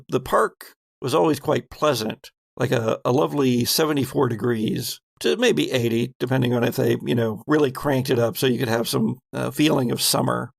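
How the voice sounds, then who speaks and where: A man's voice: medium pitch, gravelly, no echo. A man acting as game master, narrating an improvised tabletop role-playing game in conversational speech.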